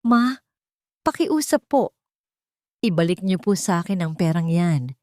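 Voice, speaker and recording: A woman's voice: fairly low pitch, animated, a little slow, warm tone, no echo, clean studio sound. A woman voicing a meek, polite daughter-in-law in a drama story, pleading while holding in her anger.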